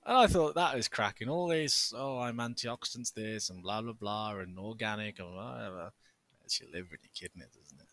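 Bright-voiced joking man. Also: lowish voice, normal speed, dry recording. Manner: theatrical, jokey and sarcastic. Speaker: podcast co-host. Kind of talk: casual podcast chat.

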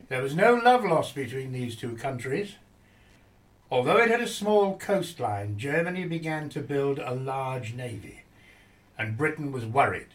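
A man reading scripted historical narration for a radio play. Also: mid-range voice.

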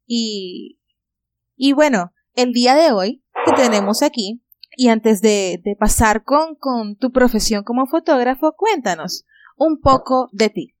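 A woman's voice: a little high, animated, a little slow, no echo. A woman hosting an interview, introducing her guest with admiring words and frequent fillers. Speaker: host. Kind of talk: interview podcast.

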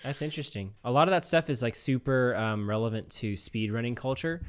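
Guy cohosting a laid-out casual podcast chat, mid pitch, really clear smooth voice. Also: a little fast. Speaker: podcast co-host.

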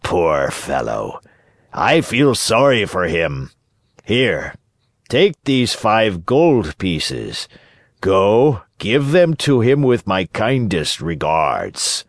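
A man playing a soft-hearted, generous puppet master in a storybook, speaking in a weighty manner. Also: lowish voice, sing-song, dry recording, studio quality, full character voice. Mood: pitying. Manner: slow, weighty.

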